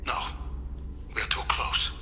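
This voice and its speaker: A man's voice: slow pace, bright, forceful tone, in a whisper. An actor playing a stern, stubborn older alien father and scientist in a video game, terse and commanding. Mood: stubborn, firm. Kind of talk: cinematic video game voice acting.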